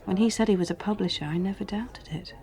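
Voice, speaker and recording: A woman's voice: medium pitch, fast, dark tone, close to an everyday voice. A woman acting in a radio drama as a guarded, candid escort with a wry, matter-of-fact way of talking. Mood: wry, impressed.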